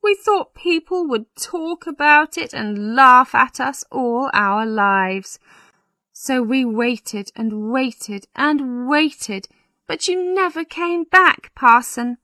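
A woman's voice: high voice, slow, bright, forceful tone, no room sound, voice pushed up, full character voice. A woman voicing a tearful, anxious young village bride in a storybook, plaintive and earnest. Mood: tearful, ashamed. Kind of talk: storybook character voice.

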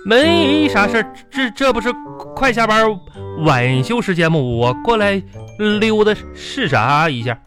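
A man's voice: high-pitched, big pitch swings, a little slow. A man playing an awkward, broke but boastful office suitor in a radio comedy, stammering and rambling in a Northeastern Chinese accent. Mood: sheepish, chatty. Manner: stammering, rambling, repeats words. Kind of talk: radio comedy sketch acting. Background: music.

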